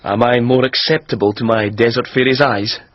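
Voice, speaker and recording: An actor playing a handsome, lovesick young king who flatters deviously, earnest and courtly in a fairy-tale audio drama. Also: mid-range voice, fairly quick, no echo, lo-fi sound, natural voice. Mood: courtly flattering.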